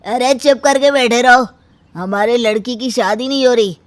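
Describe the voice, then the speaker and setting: A woman's voice: a little high, moderate pace, no room sound, natural voice. A woman playing a threatening, commanding village parent in a cartoon story. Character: menacing village parent forcing a marriage. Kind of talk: Hindi cartoon story voice acting.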